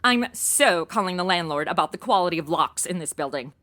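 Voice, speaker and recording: A woman's voice: on the low side, lively intonation, a little fast, pushed and bright. A woman playing a flippant, ditzy modern young woman in a comedy audio drama, sarcastic and full of exclamations and slang.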